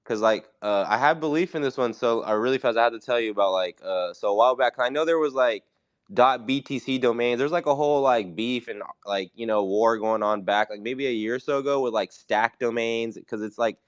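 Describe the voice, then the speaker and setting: A man's voice: medium pitch, brisk, clean tone, dry recording. A congested man chatting casually as a guest in an online talk. He is laid-back and talks with lots of fillers. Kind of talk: casual crypto chat.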